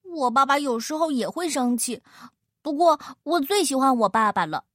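A friendly young leopard cub voiced by a woman for a storybook. The voice is high and childlike, full of proud admiration, recorded close and dry. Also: animated, normal speed, fairly bright tone, no echo. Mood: proud, admiring.

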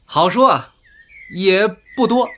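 A man's voice: high voice, animated, slow pace, bright, forceful tone, heavily acted. An actor in a radio drama playing a taunting, blustering male street thug who bullies and leers. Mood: smug, leering. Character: leering male street thug, bullying extortionist.

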